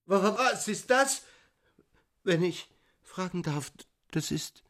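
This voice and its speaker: An actor in a German radio play voicing a brusque, puzzled medical professor who questions and orders with authority. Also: male voice, sits high, lively intonation, slow, a bit bright, full character voice. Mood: alarmed.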